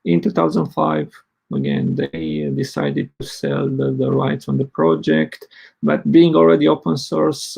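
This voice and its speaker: Brief: man in his forties from Romania, husky and halting, presenting at a tech conference. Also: mid-range voice, a little mellow, low-quality recording. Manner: hesitant, many fillers. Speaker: open source project lead presenting. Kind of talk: conference talk.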